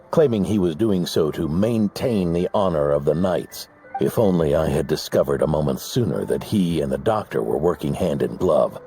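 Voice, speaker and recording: An actor playing an older veteran knight in a game, speaking with measured, solemn storytelling gravity in a gravelly voice. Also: male voice, deep voice, dark tone, slight background noise. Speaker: game character.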